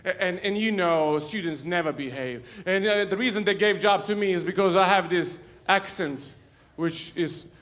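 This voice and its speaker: A man preaches a lighthearted sermon, with a voice that sits notably high for a man. He spins self-mocking stories and chuckles at his own punchlines, in a relaxed storyteller's way. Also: moderate pace. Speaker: pastor. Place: church sanctuary.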